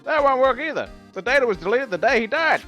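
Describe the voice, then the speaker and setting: A man voicing a nervous, stubborn, rule-bound police officer in a live game dub, with hurried delivery. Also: very high voice, big pitch swings, pushed and bright, dull audio, faint music behind, full character voice. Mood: flustered, refusing. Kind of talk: live fan dub of a visual novel game.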